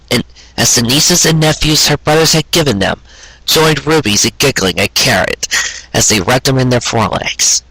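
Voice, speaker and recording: A man reading a sentimental story aloud in a measured, scripted narrating manner. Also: medium pitch, sharp tone, slightly rough, no room sound, rough recording. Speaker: audiobook reader of fan fiction.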